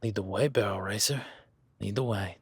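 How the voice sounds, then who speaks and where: A man's voice: on the low side, moderate pace, husky, dry recording. A man playing an easygoing, seasoned cowboy rancher in an audio roleplay, talking to the listener in a folksy, plainspoken way.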